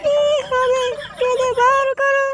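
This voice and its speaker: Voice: very high voice, completely flat delivery, slow, very noisy. A performer voicing a fretful old village grandmother, a woman, in an amateur comedy cartoon.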